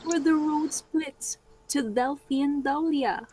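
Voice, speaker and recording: A woman playing a reassuring queen and wife in a readers' theatre Greek tragedy, reciting her lines deliberately. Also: high voice, background noise. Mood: solemn recounting.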